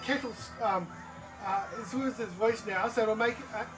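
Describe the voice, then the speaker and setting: Halting game master over music. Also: medium pitch. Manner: halting, feeling for words. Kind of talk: improvised tabletop game narration and table chat. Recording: distant from mic, music over the voice.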